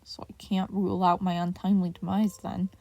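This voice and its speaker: A woman's voice: lowish voice, flat delivery, brisk, dark tone, no room sound. Woman voicing a dry, grumbling male teacher. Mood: wary grumbling. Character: weary, grumbling male hero teacher, former pupil. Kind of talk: audiobook-style fan fiction character reading.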